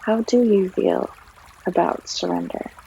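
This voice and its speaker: A woman leading a guided meditation as a yoga guide, speaking gently with long pauses, in a breathy, creaky voice. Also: lowish voice, a little hiss or hum. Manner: gentle, unhurried, long pauses between phrases. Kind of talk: guided meditation, soothing narration. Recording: slightly dull sound, trickling stream water behind. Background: flowing stream water.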